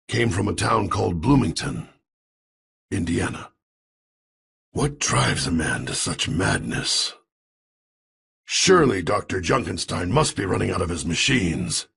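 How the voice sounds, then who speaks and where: A man's voice: deep voice, big pitch swings, a little slow, creaky, long pauses, fairly bright tone, slightly rough, exaggerated voice. A man acting a grim, noble, weary soldier in a video game, with a grave theatrical delivery. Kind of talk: dramatic video game voice lines.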